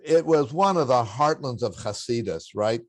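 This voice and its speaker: An older man with a baritone voice, a guest in an interview, telling family stories in a reflective, anecdotal way with occasional chuckles. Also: expressive, moderate pace, no room sound. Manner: warm anecdotal storytelling with chuckles. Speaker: interview guest, spiritual teacher.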